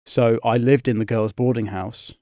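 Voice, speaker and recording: A man's voice: mid-range voice, fairly even intonation, normal speed, warm tone, no echo, studio quality. A man in his thirties, raised in Scotland and England, chatting as a comedian guest on a podcast in a rambling, self-interrupting way. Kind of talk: casual interview chat. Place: podcast studio.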